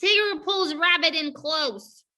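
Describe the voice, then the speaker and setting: A woman's voice: very high voice, takes it slowly, bright, forceful tone. A woman reading out stage directions as scripted narration for a play.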